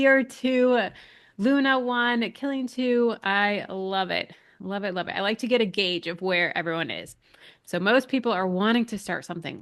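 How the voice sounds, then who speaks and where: A woman's voice: a little high, fairly bright tone, smooth. A woman in her thirties from Massachusetts hosts a live online business class in a chatty, upbeat way. She is getting over a cold, so she sounds a little nasal, with a dry throat and some breathlessness. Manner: chatty, upbeat, reads out chat names. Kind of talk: casual live webinar talk.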